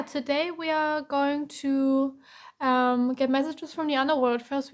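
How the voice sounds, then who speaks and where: A woman from Germany giving a casual tarot reading, hoarse with a cracking voice, reassuring and repeating herself. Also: high voice. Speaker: tarot reader.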